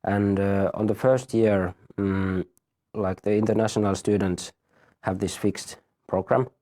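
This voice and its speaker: A man in his thirties, a university teacher, explaining a study program in a halting way, often repeating words. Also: fairly low pitch, steady tone, mellow. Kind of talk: explaining in an interview.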